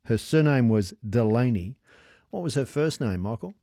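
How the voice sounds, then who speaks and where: A man's voice: medium pitch, lively intonation, moderate pace, dark tone, dry recording. An Australian man hosts a radio quiz with a teasing, jokey manner and frequent laughs. His voice is dry and close-miked, with no room around it. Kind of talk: casual on-air chat with callers.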